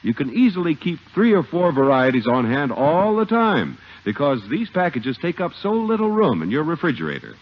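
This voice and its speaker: A man's voice: a little high, sing-song, normal speed, few pauses. A man announcing in a 1950s radio style, with a polished, emphatic sales delivery. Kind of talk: 1950s radio advert and scripted narration. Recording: old, dull 1950 radio broadcast with music.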